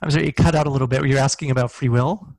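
Middle-aged guy chatting in an interview, headset mic, dry sound, thinks out loud, self-deprecating. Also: medium pitch, steady tone, racing, creaky, no echo. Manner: thoughtful, self-deprecating, hedging. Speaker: guest, physicist and science fiction author. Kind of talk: casual interview talk. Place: small indoor room, video call.

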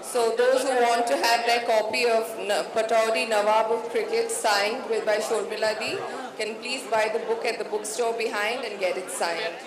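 A woman's voice: on the high side, steady tone, normal speed. A woman organiser making formal closing thanks and announcements. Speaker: festival organiser. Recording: echoey hall, crowd.

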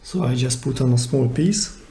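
A man giving a hands-on, step-by-step repair tutorial in simple, careful phrases with pauses mid-sentence, recorded indoors at a workbench. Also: mid-range voice, gravelly, noisy background. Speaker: DIY repair channel host. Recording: roomy, echoey indoor sound.

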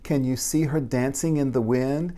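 A man voicing a gentle, reassuring mother mole in a picture book, speaking softly and tenderly with drawn-out words. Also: medium pitch, steady tone, pitched above the performer's own voice, natural voice. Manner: soft, slow, tender, drawn-out.

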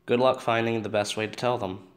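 A man's voice: fairly low pitch, steady tone, fairly quick, lightly acted. A man playing a dry, principled teenage boyfriend in an amateur audio drama. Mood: stern.

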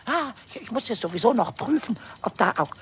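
A cheeky, childlike little kobold from a children's radio play. The voice is shrill and very high, leaping up and down in pitch. He is impatient and excitable, tripping over and repeating his words. There is clear background noise on the recording. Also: fairly quick, heavily acted. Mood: muttering, self-reassuring. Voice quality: squeaky, shrill.

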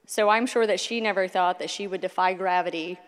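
A woman giving a measured, formal introduction of a guest speaker on a school auditorium stage, holding a handheld mic. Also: lowish voice. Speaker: event host introducing the speaker. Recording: echoey auditorium reverb.

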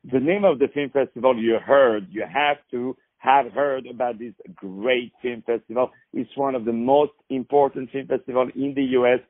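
A man's voice: mid-range voice, dry recording. A man hosting a phone interview, effusive and lavish with praise. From France. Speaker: host. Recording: tinny phone line, dry.

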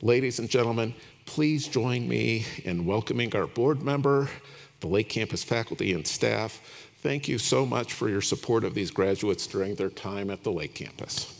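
A man with a creaky, gravelly voice delivers a formal introduction from a podium. He is hosting a graduation ceremony, and the large hall adds long reverb to his words. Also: on the low side, normal speed.

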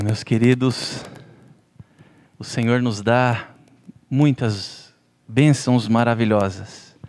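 An older man speaking as pastor at an infant baptism, gentle and unhurried, in a church sanctuary. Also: on the low side. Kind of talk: sermon-style address at a baptism.

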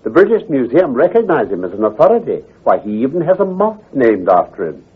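An actor playing an assured, precise gentleman detective who explains with authority in a radio drama. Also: mid-range voice, very animated, a little fast, slightly rough. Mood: assured, explanatory. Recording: tinny, hazy old radio recording.

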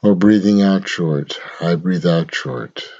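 Slow, low-voiced man guiding meditation. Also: fairly even intonation, darkish tone, muffled recording. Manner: measured, instructional, short phrases with long pauses. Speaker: meditation guide. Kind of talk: guided meditation.

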